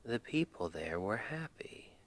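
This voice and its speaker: Hushed, slow, low-voiced soothing boyfriend played by a man. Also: big pitch swings. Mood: soft, playful storytelling. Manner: hushed, half-whispered, gentle and intimate. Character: tender, playful boyfriend soothing his partner to sleep. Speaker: ASMR roleplay performer. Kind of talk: ASMR roleplay, soft bedtime storytelling.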